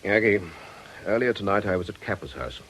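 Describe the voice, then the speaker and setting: An actor playing a troubled, earnest priest with a husky voice, speaking urgently in a radio drama. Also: male voice, fairly low pitch, expressive, brisk, a bit bright, slight background noise, poor audio. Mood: pleading. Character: earnest, troubled priest pleading with an old acquaintance.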